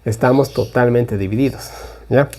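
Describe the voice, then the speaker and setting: A man's voice: mid-range voice, normal speed, warm tone. A man from Ecuador giving an informal Bible prophecy talk, rambling and conversational with frequent asides.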